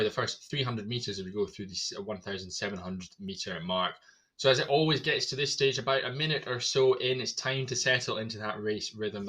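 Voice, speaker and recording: Bright-voiced male race commentator. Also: lowish voice. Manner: energetic, running play-by-play with fillers.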